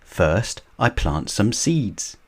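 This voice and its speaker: Brief: older grandpa pig, low and slow, calm explainer, the narrator's own voice. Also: male voice, exaggerated voice. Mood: explaining. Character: old grandfather pig, fond gardener.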